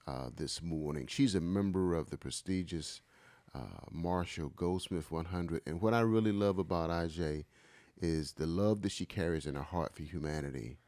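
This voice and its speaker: A man's voice: deep voice, a little mellow, no echo. A man co-hosting a podcast, talking conversationally as he introduces and questions a guest. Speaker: podcast co-host.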